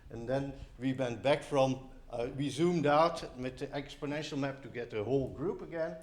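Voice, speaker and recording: A man's voice: mid-range voice, moderate pace, a little mellow. A man co-presenting a talk, explaining mathematical ideas in an informal lecture style.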